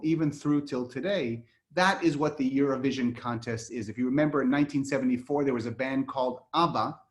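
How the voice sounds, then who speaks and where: A man from Israel leads an online history lecture over a video call, explaining in a conversational way with frequent fillers and restarts. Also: medium pitch. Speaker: lecturer and guide for an online series.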